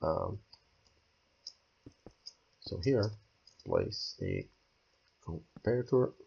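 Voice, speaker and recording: A hoarse man who is losing his voice, walking through a game build step by step and thinking aloud as he goes. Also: low voice, huge pitch swings, very slow, dark tone, lo-fi sound. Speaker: game tutorial creator. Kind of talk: screen-recorded building tutorial.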